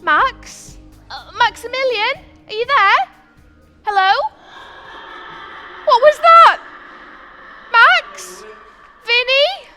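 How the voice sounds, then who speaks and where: A woman's voice: very high voice, animated, extremely slow, long pauses, pushed and bright, full character voice. A woman playing an anxious young princess longing to be free, in a live stage pantomime. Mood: nervous, searching. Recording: echoey live stage recording, music behind.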